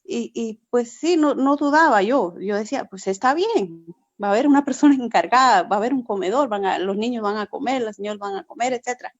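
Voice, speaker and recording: A woman's voice: a little high, a little fast, no room sound, muffled recording. Earnest Peruvian-born woman pastor. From Peru. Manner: earnest, repetitive, frequent "verdad". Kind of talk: forum testimony.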